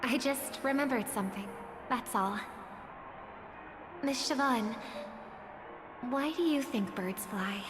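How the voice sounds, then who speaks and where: Gentle, idealistic young songstress for a game: higher-pitched, measured, reverberant, over music. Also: female voice. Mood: wistful, reflective. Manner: measured, soft-spoken, earnest. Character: gentle, earnest young female singer, idealistic and resolute. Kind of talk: video game voice acting. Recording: long reverb, music under the voice. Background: background music.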